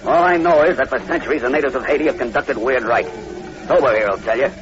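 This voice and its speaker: A man's voice: medium pitch, fast talker, natural voice. A man playing a grim, wary plantation manager in an old radio drama, speaking in an ominous, emphatic, cautioning way. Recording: noisy lo-fi old radio recording.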